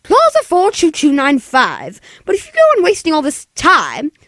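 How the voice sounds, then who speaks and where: A man's voice: very high-pitched, huge pitch swings, moderate pace, a bit bright, dry recording, clean studio sound, full character voice. A man voicing a demanding, hysterical wealthy invalid woman in an old radio play, imperious and exasperated. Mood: agitated. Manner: imperious, exasperated, breathless urgency. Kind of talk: 1940s radio play acting.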